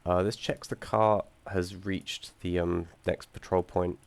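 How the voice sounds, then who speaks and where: A young man with a low, gravelly voice, audibly croaky from being under the weather. He talks through his game's code in a halting way, full of ums and self-corrections, with the odd dry joke dropped in. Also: fairly even intonation. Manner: hesitant, many ums and restarts, dry asides. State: croaky from feeling unwell. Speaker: student presenting his game project. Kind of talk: screen-recorded code walkthrough.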